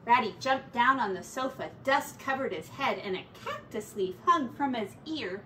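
Woman, middle-aged, children's story narration, high voice, expressive, roomy distant mic. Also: slight background noise. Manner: measured, dramatic. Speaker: teacher reading aloud. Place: small attic-style bedroom, indoors. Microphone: distant camera mic.

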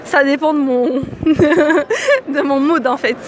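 A young woman with a fairly high voice answers a street interviewer's questions, hesitant and giggly, with plenty of fillers. She is recorded outdoors on a city street, with faint traffic behind her. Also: big pitch swings, slow, a crowd in the background. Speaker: passer-by. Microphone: handheld mic held by interviewer.

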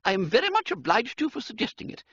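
A man voicing a brisk, decisive, middle-aged schoolmistress in a raised, falsetto-like voice. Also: huge pitch swings, pushed and bright, no room sound, heavily acted. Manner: crisp and decisive. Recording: dull, dry, muffled sound.

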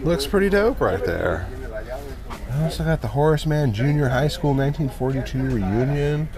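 A man's voice: on the high side, lively intonation, normal speed, crowd noise. A man casually vlogging as he browses a flea market, chatting upbeat to his viewers. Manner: chatty, upbeat, talks to viewers as "you guys". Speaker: reseller vlogger. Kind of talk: casual walkaround vlog.

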